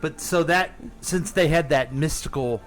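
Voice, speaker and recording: A man's voice: fairly high pitch, a little slow, faint music behind. Inquisitive man hosting a casual radio chat. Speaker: radio host asking questions.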